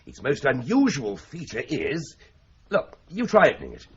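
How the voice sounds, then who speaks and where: A man's voice: medium pitch, huge pitch swings, moderate pace, slight background noise. An actor playing a wry, teasing young male solicitor's clerk in a radio drama. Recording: dull lo-fi radio play recording.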